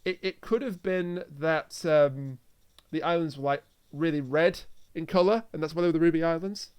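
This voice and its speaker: A man with a noticeably high-pitched voice talks as a podcast co-host. He recaps a story in a casual, conversational way, slipping into acted-out quotes as he retells it. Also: normal speed, a little mellow, clean tone. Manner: acts out quoted lines while recapping. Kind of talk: casual episode recap and review.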